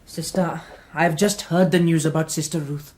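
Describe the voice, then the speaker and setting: An earnest, naive young general speaks politely and formally in a radio play, played by a woman. The voice sits low and mellow. The speech is carefully proper, almost schoolboyish. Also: fairly even intonation, moderate pace, natural voice. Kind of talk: radio drama acting.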